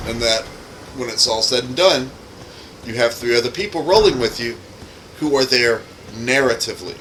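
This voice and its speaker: A man's voice: medium pitch, noisy background. A man giving casual, rambling, explanatory gameplay commentary with some offhand swearing, as a gamer showing off his own mod. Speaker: game streamer and modder. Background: music.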